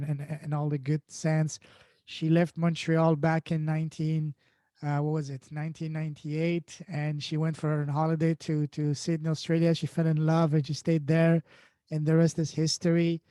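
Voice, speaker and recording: High-voiced male podcast host, native French speaker. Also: dark tone, no room sound, clean studio sound. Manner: effusive, repeats words. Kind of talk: enthusiastic podcast introduction and interviewing.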